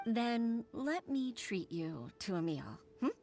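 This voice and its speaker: A woman's voice: on the high side, big pitch swings, takes it slowly, darkish tone, exaggerated voice. A woman voicing an earnest middle-aged woman secretary and mother in a video game, who talks hesitantly and sighs often. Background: game music.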